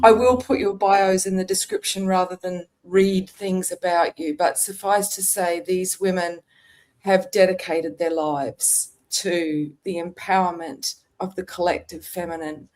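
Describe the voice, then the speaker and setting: A woman hosting a spiritual podcast over a video call, speaking in a slow, reverent, ceremonial way as she leads an invocation. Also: lowish voice, steady tone, a little mellow, poor audio. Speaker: podcast host.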